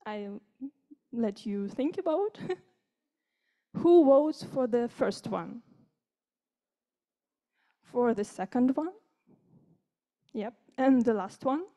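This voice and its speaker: A woman's voice: fairly high pitch, lively intonation, extremely slow, pauses often, mellow. A fifteen-year-old girl with a Ukrainian accent, a native Ukrainian speaker, giving a friendly, informal presentation to an audience. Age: 15, teenager. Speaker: youth speaker presenting at a conference. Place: conference room with seated audience.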